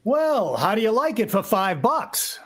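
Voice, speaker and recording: Animated, offhand husband played by a woman. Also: fairly high pitch, measured pace, breathy edge, heavily acted. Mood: casual. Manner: offhand, dismissive. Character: dismissive, easygoing husband in a radio play. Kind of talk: vintage radio drama acting.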